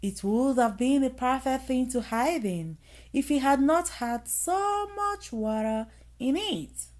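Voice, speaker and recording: A woman reading a children's bedtime story aloud in an expressive manner, with dramatic emphasis. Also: high-pitched. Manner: expressive, dramatic emphasis with acted sound effects.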